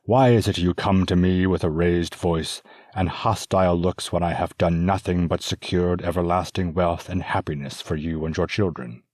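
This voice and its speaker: A man voicing a stern older nobleman who speaks firmly and commandingly, with a husky voice. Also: deep voice, steady tone, normal speed, a little mellow, no echo, clean studio sound. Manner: firm, commanding.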